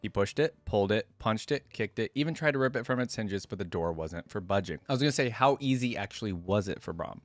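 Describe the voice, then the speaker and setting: A man with a fairly low voice gives casual reaction commentary and reads lore aloud. His delivery is informal, as if thinking out loud, and the sound is dry and close. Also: expressive, quick pace, hardly any pauses, dry recording, soft background music. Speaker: reaction video host.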